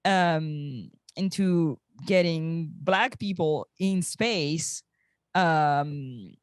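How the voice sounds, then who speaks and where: Slow-spoken woman, reflective. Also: deep voice, clear, resonant tone, dry recording, clean studio sound. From France. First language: French. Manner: measured, pausing, reflective. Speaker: podcast co-host. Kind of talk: casual, reflective chat.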